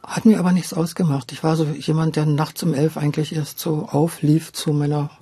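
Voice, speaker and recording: A man's voice: a little high, flat delivery, brisk, dark tone, breathy edge, dry recording. An older man from Berlin reminisces in a conversational interview, reflective and hesitant, often correcting himself as he goes. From Berlin, Germany. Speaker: interviewee, radio drama director.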